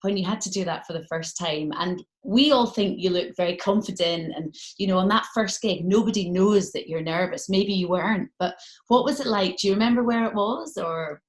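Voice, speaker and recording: A woman's voice: medium pitch, normal speed. A woman presenter asking questions in a casual, conversational interview style. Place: remote video call. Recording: echoey room on a remote call.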